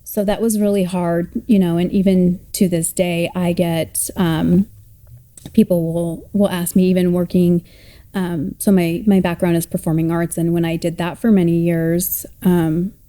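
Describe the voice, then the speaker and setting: A woman in her thirties from Colorado telling her family story in a reflective, halting way. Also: fairly low pitch, flat delivery, mellow. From Colorado, United States. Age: 30s. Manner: reflective, halting, with many fillers and restarts. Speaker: oral history storyteller. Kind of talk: personal storytelling.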